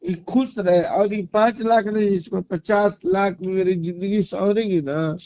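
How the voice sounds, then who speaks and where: Agitated high-pitched man with a Bhojpuri accent. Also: steady tone, a little slow, mellow, no echo. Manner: pleading, agitated, repetitive. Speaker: caller in a personal phone argument. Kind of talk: emotional private argument. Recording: dull lo-fi recorded phone call.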